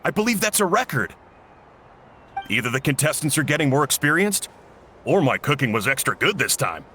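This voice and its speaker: A hearty, dramatic uncle cook. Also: male voice, on the high side, very animated, fairly quick, bright, forceful tone, a little hiss or hum. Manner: hearty, chatty, a little dramatic. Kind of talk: game voice acting.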